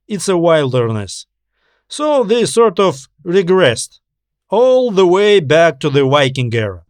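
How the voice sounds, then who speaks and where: Man acting a confident, high-pitched officer reporting in. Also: dry recording, studio quality. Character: confident male space-station officer reporting to his boss. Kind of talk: audiobook character dialogue.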